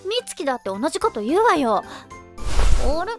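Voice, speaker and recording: A woman playing a teasing, sensible high school girl in a Japanese anime-style voice drama, expressive and easily exasperated. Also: brisk, over music.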